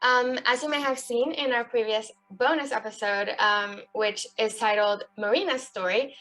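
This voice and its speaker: A woman's voice: a little high, moderate pace, fairly bright tone, soft background music, poor audio. A young woman from Puerto Rico co-hosting a podcast in casual chat, friendly, with many ums. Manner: friendly, frequent ums, light laughter. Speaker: podcast co-host.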